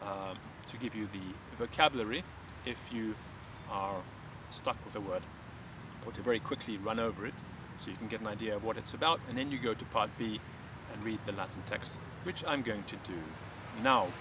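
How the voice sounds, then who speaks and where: A man speaking as a Latin teacher, explaining and reading aloud in a measured, halting live delivery. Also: on the low side, distant mic, noisy background. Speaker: Latin teacher and reader of his own lesson texts.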